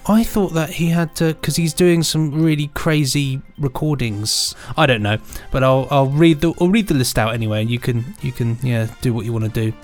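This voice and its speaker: A man's voice: medium pitch, moderate pace, hardly any pauses, faint music behind. A British man co-hosting a podcast in a casual, chatty, playful and rambling way. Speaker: podcast co-host.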